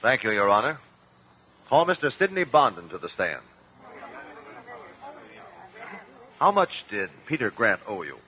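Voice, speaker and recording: A composed, persistent lawyer in an old-time radio courtroom drama. His questioning is measured but moves expressively up and down in pitch. The recording is dull, with some noise under it. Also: sing-song. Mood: probing. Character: composed, probing attorney questioning witnesses.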